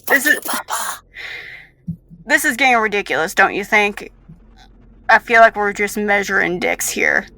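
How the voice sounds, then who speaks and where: Casting: woman as reproving male character in a tabletop game; firm, bright-edged, insistent. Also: mid-range voice, very animated, a little slow, long pauses, dry recording. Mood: exasperated. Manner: admonishing, insistent. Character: stern, protesting man confronting a villain.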